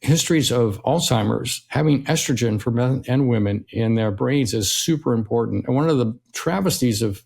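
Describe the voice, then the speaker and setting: A man's voice: mid-range voice, moderate pace, hardly any pauses. A middle-aged male physician being interviewed, explaining health topics at length and often checking in with "right?". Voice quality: gravelly, husky. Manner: steady explainer who often tags "right?". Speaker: longevity physician guest. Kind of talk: conversational explaining.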